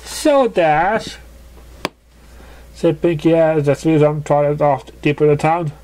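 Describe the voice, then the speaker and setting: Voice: medium pitch, flat delivery, a little fast, warm tone, slight background noise. A narrator reading a scripted fan fiction story aloud.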